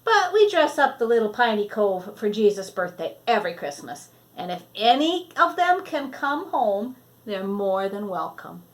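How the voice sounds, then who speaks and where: A woman voicing a kindly, caring grandmother in a storybook, gentle and hospitable. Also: medium pitch, sing-song, normal speed, natural voice. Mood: pleased. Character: kindly, caring grandmother hosting a stranded boy.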